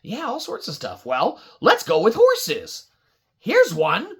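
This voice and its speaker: Female children's storyteller: unhurried, highly animated and slightly raspy, with exaggerated reactions. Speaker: puppet show host and storyteller. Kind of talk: interactive children's storytelling, asking an imagined audience for ideas.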